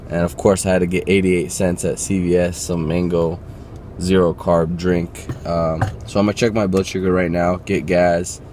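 A man in his twenties chatting casually to a handheld phone camera, relaxed, with lots of fillers. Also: low-pitched, normal speed, a little hiss or hum. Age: 20s. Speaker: vlogger. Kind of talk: casual daily vlog. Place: car, store and home kitchen. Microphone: handheld phone at arm's length.

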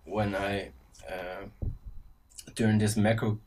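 Dutch-accented man, low voice, halting casual tutorial voice-over, set back from the mic. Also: little pitch movement, darkish tone, distant mic, a little hiss or hum, rough recording. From Netherlands. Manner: casual, halting, many ums and restarts. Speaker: synth tutorial presenter. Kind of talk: screen-recorded software tutorial. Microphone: voice-over on screen recording.

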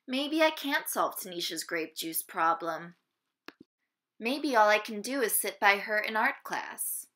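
A woman reading a children's picture book aloud in an expressive, deliberate way, phrasing it for young listeners. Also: medium pitch. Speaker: storybook reader.

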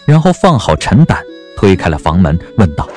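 A man's voice: sits low, big pitch swings, normal speed, a little mellow. A man narrating a scripted audio drama in a dramatic storytelling style. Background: background music.

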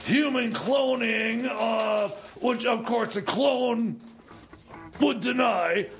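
A man's voice: very high voice, drawn-out words, creaky, a bit bright, soft background music, full character voice. A man voicing a cranky conspiracy theorist on comedy radio, stammering, rambling and accusing in a strained voice. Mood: flustered, accusatory. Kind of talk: improvised comedy radio bit.

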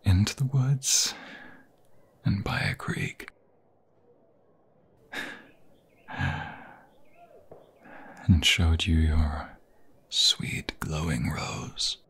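Casting: male actor for romantic vampire boyfriend, ASMR roleplay. Low, gravelly, breathy, often whispered; tender, poetic, halting. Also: big pitch swings, fairly bright tone, heavily acted. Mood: soft, hopeful. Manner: halting, sentimental, flowery romantic phrasing. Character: tender, lovestruck vampire fiancé, poetic young man.

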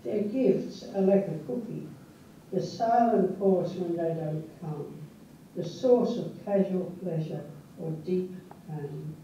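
Older Dutch-born woman, slow, dark, echoey. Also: fairly low pitch, slight background noise. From Netherlands. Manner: measured, unhurried recitation. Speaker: poet reading her own poem. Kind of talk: poetry reading. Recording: echoey, distant, dull.

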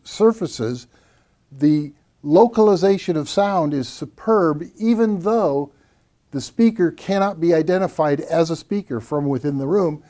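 An older man co-presenting a technical explanation in a measured, didactic way, repeating key phrases. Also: a little high, dark tone. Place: small acoustically treated home theater room.

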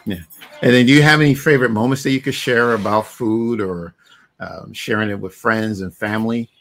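A man's voice: fairly low pitch, fairly quick, fairly bright tone. A middle-aged man from Barbados hosting a podcast over a video call, chatting in an easygoing way with lots of "you know" fillers. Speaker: podcast host. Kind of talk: casual interview chat. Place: home room with shelves of figures.

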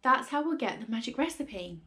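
Woman playing a wildly excitable, impatient storybook father. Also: fairly high pitch, fairly quick. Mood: urgent, bossy. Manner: breathless exclamations, urgent and insistent. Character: excitable, impatient father, frantic with grand schemes.

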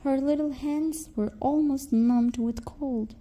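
A woman's voice: high voice, steady tone, slow pace, dark tone. A woman reading a scripted fairy tale to children as a storyteller.

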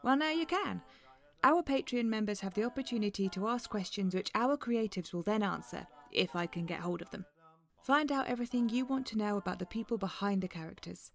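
A woman's voice: mid-range voice, fairly quick, gravelly. A woman podcast announcer reading a scripted promotional message.

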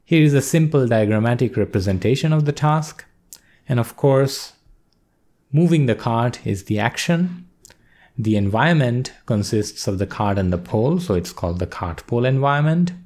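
A male instructor calmly explaining a coding task step by step in a screen-recorded lesson. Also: medium pitch. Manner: steady explanatory pace, walks through steps. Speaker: course instructor.

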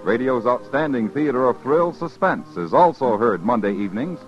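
An American man reads scripted radio announcements in a formal 1950s style. His mid-pitched delivery is animated and declamatory. The old recording is dull. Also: normal speed, soft background music.

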